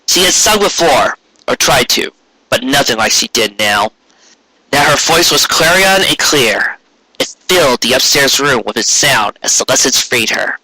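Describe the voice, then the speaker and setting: A man reading a story aloud as an expressive narrator. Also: medium pitch, normal speed, dry recording, low-quality recording. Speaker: narrator, fan fiction reader.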